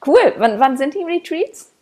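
A woman's voice: sits high, sing-song, measured pace, a little mellow. A woman hosting a podcast over a video call, enthusiastic and affirming, chatting casually with her guest. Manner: enthusiastic, affirming, many short "ja" replies. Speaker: podcast host. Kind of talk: casual interview chat.

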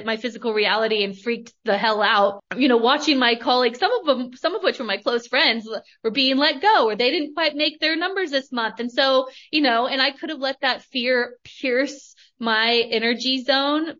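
A woman's voice: a little high, a bit bright, dull audio. A woman in her thirties from Northern California, hosting a podcast and telling her story in an emphatic, motivational way, repeating phrases and swearing mildly. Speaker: podcast host.

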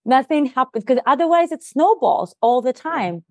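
A woman's voice: high-pitched, big pitch swings, normal speed, dry recording. A woman whose first language is Russian, a podcast guest and leadership coach, explaining things earnestly in casual conversation with frequent "you know" and "like".

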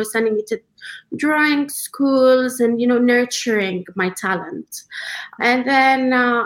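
A woman in her thirties who grew up in the UAE, a designer answering interview questions in a relaxed, conversational way with frequent fillers. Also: medium pitch, rough recording. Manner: conversational, many fillers, measured pace. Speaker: guest, designer.

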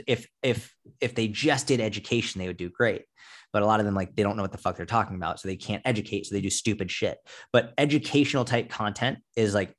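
A man in his thirties talks in a relaxed podcast conversation. His medium-pitched voice lays out points emphatically, like a coach explaining his trade, with casual swearing mixed in. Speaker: fitness coach and content creator, interview guest.

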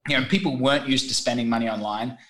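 Australian man, thirties, guest on a casual podcast, lively storyteller who slips into quick impressions. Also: medium pitch. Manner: animated storyteller, acts out voices in anecdotes.

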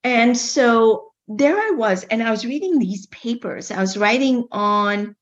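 Woman, from Kenya, middle-aged, slightly high-pitched, lively storyteller, muffled lo-fi sound. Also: unhurried. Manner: animated, repeats words, playful asides. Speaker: guest, medical doctor. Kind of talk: conversational storytelling interview.

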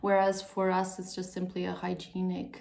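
Gravelly, flat-voiced woman from Portugal. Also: on the low side, moderate pace. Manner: reflective, hesitant, many ums and restarts. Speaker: guest, filmmaker. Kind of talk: casual interview chat.

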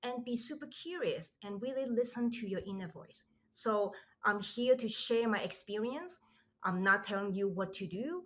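A middle-aged woman from Hong Kong, a podcast guest telling her story in an earnest, reflective way with animated asides. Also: mid-range voice, moderate pace. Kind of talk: conversational storytelling.

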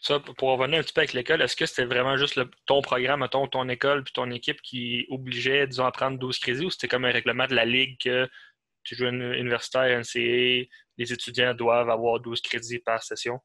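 A man with a bright, forceful voice who asks long questions that wander, full of hesitations. His tone stays even throughout. He sounds close and dry. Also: medium pitch, quick pace, no room sound. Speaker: interviewer. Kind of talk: casual interview questions.

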